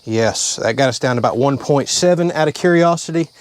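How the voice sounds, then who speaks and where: Man, casual how-to vlog, plain-spoken, explaining step by step, middle pitch, inside a vehicle. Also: fairly quick. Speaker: channel host.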